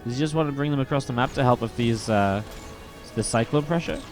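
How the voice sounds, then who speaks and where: A man casting a live strategy game match on his own, in a mid-range voice. He sounds a little sniffly from a cold and keeps up a steady stream of play by play. Faint game music runs under him. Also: mid-range voice, fast. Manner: steady, builds excitement in fights. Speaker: esports caster. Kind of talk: solo play-by-play commentary.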